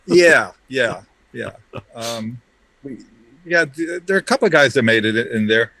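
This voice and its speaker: A brash middle-aged man from Romania, raised in Brooklyn, spins joking stories with a quick, wisecracking edge. His voice is at a middle pitch and sounds dry and close, with no room echo. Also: expressive, gravelly, bright, forceful tone. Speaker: interview guest, ad creative director. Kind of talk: casual storytelling.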